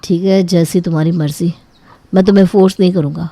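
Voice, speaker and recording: A woman acts out a worried young husband in an animated moral tale. The voice is on the low side, practical and resigned in how it explains things. It is recorded dry and close. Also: fast talker, no echo. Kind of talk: animated Hindi moral story acting.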